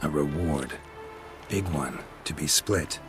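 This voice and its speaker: Casting: deep-voiced laconic fantasy hunter, flat deadpan delivery, video game scene over music. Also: male voice, slow. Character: stoic, terse male monster hunter. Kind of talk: video game character acting. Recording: loud music behind.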